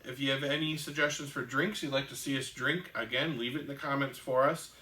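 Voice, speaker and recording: A man hosting a casual board game show, explaining the rules in a halting way with many ums and restarts. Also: mid-range voice, fairly even intonation, sharp tone, roomy sound, poor audio.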